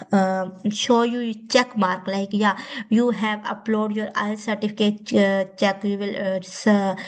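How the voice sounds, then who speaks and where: Halting Pakistani woman giving a tutorial. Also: medium pitch, darkish tone. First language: Urdu. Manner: halting, repetitive, often says okay. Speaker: tutorial host. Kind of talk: informal step-by-step screen tutorial.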